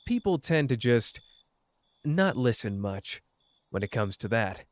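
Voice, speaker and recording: A man's voice: mid-range voice, big pitch swings, unhurried, no room sound. A man playing a cocky young sorcerer who teases tenderly and talks informally, in an intimate roleplay performance. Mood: awkward, sincere. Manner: informal, hesitant when talking about feelings. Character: cocky young male sorcerer, teasing yet tender with his partner. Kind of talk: intimate roleplay audio acting.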